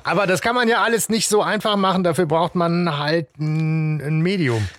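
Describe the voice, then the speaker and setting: A man with a fairly high voice jokes his way through a fan podcast discussion of a radio play, laughing often. The recording is dry and close, with no room sound. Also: no room sound. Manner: joking, laughs often, drawn-out pauses. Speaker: podcast co-host.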